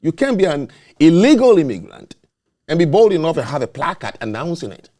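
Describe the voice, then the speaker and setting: A man's voice: fairly high pitch, big pitch swings, brisk, warm tone. Guy hosting a talk show, lectures hard, voice swings up and down a lot. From not born in the US, naturalized citizen. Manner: emphatic, lecturing. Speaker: show host. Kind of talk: opinionated talk show discussion.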